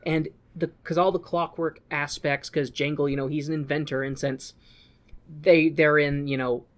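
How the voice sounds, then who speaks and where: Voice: mid-range voice, little pitch movement, moderate pace. A podcast host chatting casually through a movie recap, with crude, profane joking and bits of acted-out dialogue.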